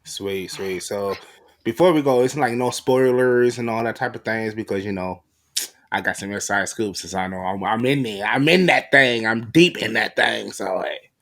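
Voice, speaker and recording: A man's voice: on the low side, moderate pace, fairly bright tone. A man hosting a podcast interview, chatting casually with slangy, informal phrasing. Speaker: podcast host.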